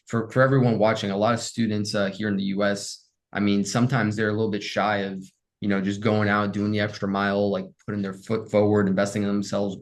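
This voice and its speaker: Upbeat fast-talking male podcast host. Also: fairly low pitch. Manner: upbeat, energetic, many fillers like "man" and "like". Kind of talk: casual interview hosting.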